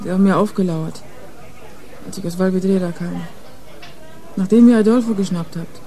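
Woman playing a streetwise, mellow-voiced young informant. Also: on the low side, normal speed, natural voice. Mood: frightened, urgent. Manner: slangy, blunt. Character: streetwise nineteen-year-old woman, drug-using club girl informant. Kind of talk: German radio crime drama acting.

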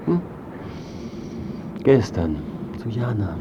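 An actor playing a self-important, scheming art critic who narrates and acts in a radio drama, glib and evasive. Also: male voice, fairly low pitch, sing-song, extremely slow, dull audio, noisy background, exaggerated voice.

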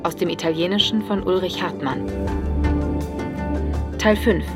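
A woman's voice: lowish voice, a little slow. A woman announcer reading scripted credits for a radio drama. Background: prominent music.

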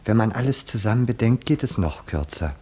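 Probing, melancholy onlooker: very low, husky, soft and deliberate, knowing, 1950s German radio drama. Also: male voice, animated. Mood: insistent, intimate. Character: short-sighted, smiling, probing male observer, quietly insistent, melancholy.

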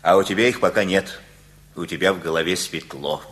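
An actor in a radio play playing an aging, once gruff father, now softened and wistful, who rambles reflectively in folksy phrases. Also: low voice, a little fast. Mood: musing, weary. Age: older.

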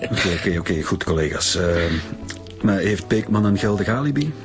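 A police director in a Flemish radio drama. He has a fairly low voice and an orderly, authoritative delivery in a Belgian Dutch accent, with music underneath. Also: expressive, moderate pace. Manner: authoritative, methodical. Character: orderly male police director summing up cases.

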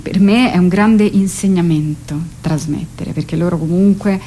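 A woman talking casually as a radio interview guest, halting and searching for words with drawn-out hesitations. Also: fairly low pitch, mellow, slight background noise. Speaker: interview guest, theatre artist and writer. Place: radio studio.

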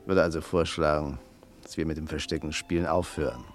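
A wily, self-satisfied man in a radio play who trusts nobody. The voice is deep and confiding, speaking deliberately, with a little music behind it. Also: steady tone. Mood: cold, confronting. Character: shrewd, self-satisfied old hand, suspicious man in exile.

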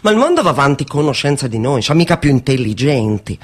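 An actor playing a hopeful, stubborn post-war communist in colloquial, earnest, coaxing radio theatre dialogue. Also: male voice, mid-range voice, very animated, quick pace. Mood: earnest, insistent.

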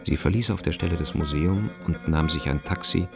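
Very deep, gravelly male narrator. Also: a little fast, music in the background. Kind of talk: scripted radio play narration.

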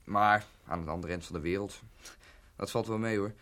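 An actor playing a keen, homesick young student son in a radio drama, talking earnestly. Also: male voice, on the low side, normal speed, a bit bright. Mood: cheerfully contradicting. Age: young adult.

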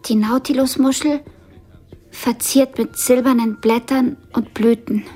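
A woman's voice: a little high, fairly even intonation, a little slow, warm tone, husky, faint music behind. A woman acting a stubborn, sensitive young daughter in a German radio drama, plain-spoken and defiant. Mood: careful, attentive.

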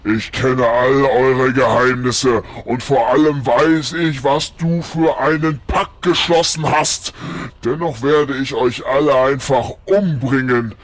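A man's voice: mid-range voice, fairly even intonation, slow pace, dry recording, natural voice. A man playing a pompous demon lord of hell in a parody audio play, with grandiose, menacing villain speech that tips into whining.

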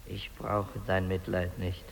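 An actor playing the bitter, accusing ghost of a dead polar explorer, hammering out reproaches in an old radio play. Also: male voice, deep voice, monotone, takes it slowly, gravelly, exaggerated voice, poor audio. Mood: cold, menacing. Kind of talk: 1950s German radio drama acting. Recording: hissy old radio recording.

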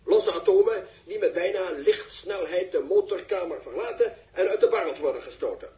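A man's voice: high-pitched, brisk, mellow, natural voice. An actor playing an eager male television reporter, giving florid, dramatic live commentary on a spaceship in a sixties radio drama. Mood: hushed, explanatory. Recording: echoey, distant old radio recording.

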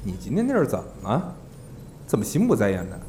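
An actor playing a brisk, teasing talent manager in a Mandarin audio drama, chatty and exasperated in his banter. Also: on the low side, huge pitch swings, dark tone, a little hiss or hum. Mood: businesslike. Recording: music behind the voice.

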